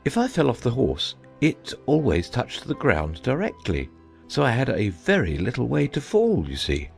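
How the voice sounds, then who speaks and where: Give the part of a gentle old knight to a man acting it out, a solemn, muddled inventor in a storybook drama. He rambles earnestly and thoughtfully, his pitch swinging widely from low to high, with faint music behind him. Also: creaky.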